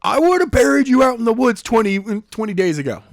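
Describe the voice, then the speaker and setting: A man's voice: very high-pitched, big pitch swings, fast, dry recording, studio quality. A man in casual podcast chat, joking and acting out characters in put-on voices. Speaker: narrator and co-host.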